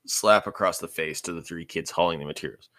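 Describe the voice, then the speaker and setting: A man's voice: sits low, brisk, fairly bright tone, no echo, studio quality. A man reading a story aloud as scripted narration, stumbling over words now and then and rereading phrases. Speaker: reader of a fan fiction audiobook.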